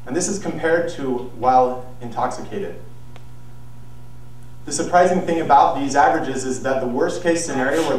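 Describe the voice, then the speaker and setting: A young man giving an earnest, measured persuasive speech on an auditorium stage, heard from a far camera. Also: medium pitch, darkish tone. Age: young adult. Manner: earnest, measured, with some restarts. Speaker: student speaker. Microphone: far camera, no visible mic. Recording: very echoey hall, distant mic, faint noise.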